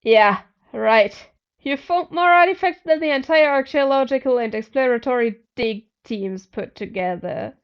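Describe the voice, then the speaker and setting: Woman putting on brash, hot-tempered tomboy, high-pitched. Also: a little slow, clean tone, muffled recording. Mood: scornful, exasperated. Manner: whiny, scornful.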